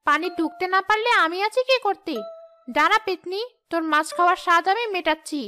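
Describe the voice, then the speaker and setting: A woman's voice: very high voice, bright, forceful tone, soft background music. A woman voicing a sly, sulky, greedy female ghost wife in a cartoon, whining and scheming. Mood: gleeful scheming. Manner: whining, scheming, quick to complain. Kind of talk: cartoon ghost-story dialogue.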